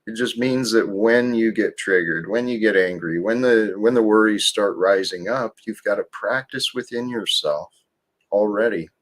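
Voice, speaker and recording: A man hosting a livestream, talking slowly and calmly about faith and gratitude in a soothing, gently preaching manner. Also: fairly low pitch, low-quality recording. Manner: slow, calm, gently preaching. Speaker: livestream host. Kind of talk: spiritual livestream talk.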